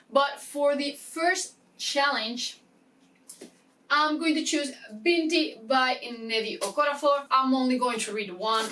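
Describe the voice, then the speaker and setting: A woman talking casually to camera as a book vlogger, chatty and excitable, often interrupting herself with asides. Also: high voice, distant mic, a little hiss or hum, poor audio. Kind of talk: casual chatty reading-plan talk to camera.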